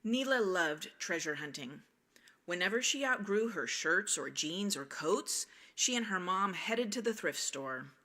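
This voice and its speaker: A woman's voice: lowish voice, animated, measured pace, pushed and bright. A woman reading a children's picture book aloud as a storyteller at a church service.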